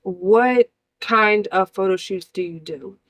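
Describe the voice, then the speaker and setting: A woman's voice: mid-range voice, slow, fairly bright tone. A woman from New Jersey hosting a casual interview show, upbeat and speaking straight to her audience. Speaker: host.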